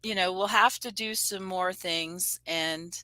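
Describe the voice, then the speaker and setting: A woman's voice: on the low side, a little slow, bright, forceful tone, no room sound. A woman hosting a casual online chat, rambling with many fillers and restarts. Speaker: show host. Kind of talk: casual video-call chat. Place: home room on a video call.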